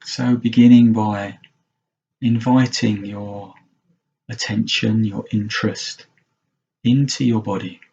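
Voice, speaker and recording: Slow, husky low-voiced male meditation guide. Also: steady tone, highs cut off. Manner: gentle, unhurried, with long pauses between short phrases. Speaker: meditation teacher.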